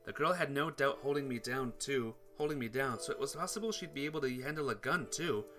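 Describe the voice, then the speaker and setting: A man's voice: mid-range voice, a little fast. A man playing a visual novel on stream, commenting casually and reading the game's text aloud, sometimes stumbling and correcting himself. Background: game music.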